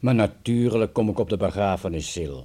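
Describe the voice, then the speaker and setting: Earnest forgiving village pastor, radio play, low husky mellow voice, reassuring. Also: male voice, brisk. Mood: earnest, consoling. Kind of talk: Dutch radio play acting.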